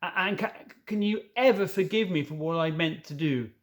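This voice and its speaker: A man's voice: fairly high pitch, normal speed, close to an everyday voice. A man reading a storybook as an anxious, tearful male fawn who stammers and pleads. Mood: apologetic farewell. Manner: stammering, pleading, self-reproaching.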